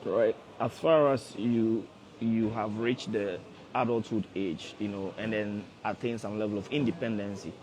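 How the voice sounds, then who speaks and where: A man's voice: fairly low pitch, fairly even intonation, normal speed, a little mellow, a little hiss or hum, low-quality recording. A man in his thirties, a forex trader hosting a casual livestream, who repeats himself and coaxes viewers directly. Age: 30s. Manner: repetitive, coaxing, addresses viewers directly. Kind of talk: casual motivational livestream talk.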